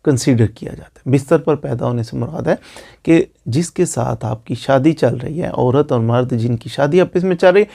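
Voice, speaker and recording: A man's voice: mid-range voice, moderate pace, creaky, mellow. A man with a Pakistani accent giving an informal legal explainer as a lawyer, repeating phrases for emphasis. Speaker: lawyer explaining law online.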